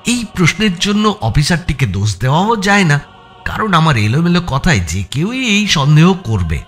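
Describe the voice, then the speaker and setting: Animated man narrating a suspense thriller. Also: on the high side, normal speed. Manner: suspenseful, theatrical delivery. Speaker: story narrator. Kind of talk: dramatic scripted thriller narration. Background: background music.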